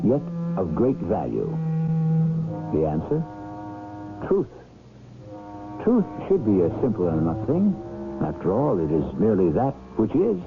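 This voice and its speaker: A man hosting and narrating a radio mystery with deliberate, dramatic, scripted delivery. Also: deep voice. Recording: tinny, narrow-band vintage radio recording. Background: loud music.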